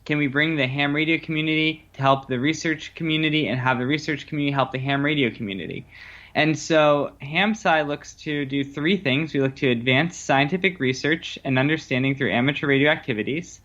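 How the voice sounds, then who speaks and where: A man speaking as a guest researcher in a conversational interview, explaining earnestly and in measured phrases. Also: on the high side, a bit bright, lo-fi sound. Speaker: guest researcher and ham radio operator.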